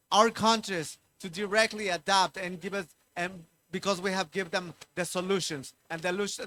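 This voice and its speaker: A man moderating a panel discussion, speaking haltingly and repeating words. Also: sits high, pushed and bright. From Ecuador.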